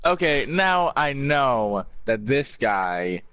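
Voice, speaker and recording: A man's voice: mid-range voice, sing-song, slow pace, fairly bright tone, clear, resonant tone, no echo. A man chatting casually as a co-commentator, putting in brief joking remarks. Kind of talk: casual chat.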